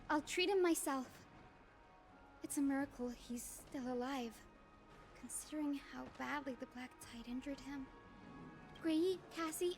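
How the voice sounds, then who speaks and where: A woman voicing a caring, resolute young healer in an anime game, gentle but decisive. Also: sits high.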